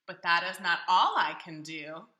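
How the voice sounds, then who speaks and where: A woman's voice: lowish voice, a little slow, pushed and bright, dull audio, voice pushed down. A woman voicing a boastful, playful male cat in a storybook, with exuberant, singsong showing off. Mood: reassuring, showy. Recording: roomy echo.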